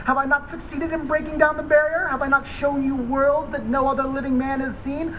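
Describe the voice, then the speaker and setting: A crazed, vindictive scientist played by a man reading aloud rants and gloats in a high-pitched, theatrical voice. He taunts and menaces like a horror story villain. The live recording is dull, with clear background noise. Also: little pitch movement, brisk. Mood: manic, accusing. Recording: dull, noisy live event camera audio.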